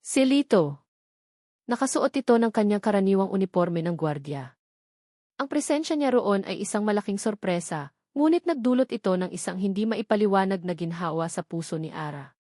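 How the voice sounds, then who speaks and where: A woman's voice: mid-range voice, lively intonation, normal speed, dark tone, no room sound, clean studio sound. A woman with a Filipino accent reading a scripted, dramatic love story as its narrator.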